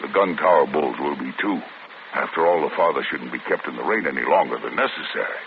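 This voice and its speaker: A very deep-voiced menacing convict. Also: male voice, fast talker. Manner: tough gravelly street talk, clipped threats. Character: hardened male convict, desperate and menacing. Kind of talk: 1940s radio acting. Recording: tinny old radio broadcast with hiss.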